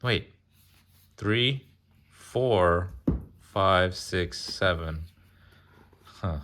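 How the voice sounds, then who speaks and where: A man reading a children's picture book aloud in a casual way, pausing to chat, ask questions and explain. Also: sits low, fairly bright tone, a little hiss or hum. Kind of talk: casual read-aloud with chatty asides.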